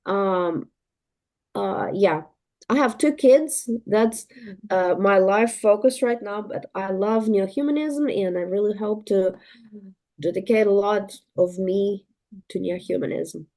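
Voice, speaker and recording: A woman's voice: mid-range voice, slow, a little mellow. A woman from Ukraine introducing herself in an online class, eager with hesitant fillers. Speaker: student. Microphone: video call.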